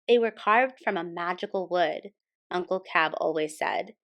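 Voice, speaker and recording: A woman hosting a storytime and reading a children's picture book aloud as expressive scripted narration. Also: fairly low pitch, a little slow. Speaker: storytime host and reader.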